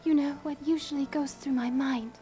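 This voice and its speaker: A high, girlish female voice plays a gentle young healer in a fantasy game acted by a woman. She is polite, bashful and hesitant, and faint music plays behind her. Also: steady tone, normal speed, close to an everyday voice. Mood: bashful, tender. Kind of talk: dramatic video game cutscene acting.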